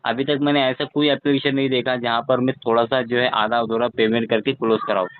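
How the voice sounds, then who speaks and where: A man's voice: mid-range voice, level tone, fast, no room sound. A man speaking as a customer arguing on a phone call, skeptical and firm, often repeating himself. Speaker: borrower, customer on a recovery call. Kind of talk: phone argument. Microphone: telephone line. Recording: tinny phone call recording, faint music.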